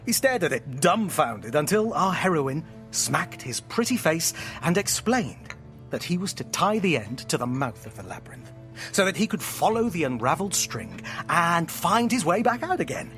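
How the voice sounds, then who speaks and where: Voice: medium pitch, very animated, moderate pace, a bit bright, faint music behind. An actor in an audio drama playing a playful, theatrical storyteller who narrates with grand melodrama and teasing asides. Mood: mock-grand. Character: playful, theatrical storyteller friend spinning a myth at home.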